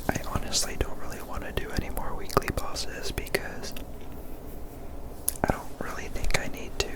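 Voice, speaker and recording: A woman whispering casual, rambling ASMR commentary over gameplay in a soft, unvoiced voice. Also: low-quality recording. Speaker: gameplay video creator.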